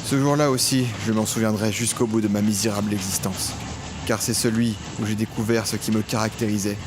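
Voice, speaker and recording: An actor playing an eager, devoted young criminal apprentice in an audio drama. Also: male voice, on the low side, fairly even intonation, brisk, noisy background, natural voice. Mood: brooding narration. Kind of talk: audio drama acting and first-person narration. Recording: music under the voice.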